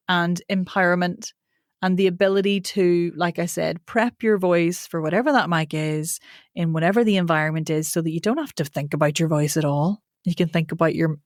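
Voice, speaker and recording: A woman's voice: lowish voice, no echo, studio quality. A woman voice coach and author chatting informally as a podcast guest, laughing easily and dropping mild swear words. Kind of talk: casual interview chat.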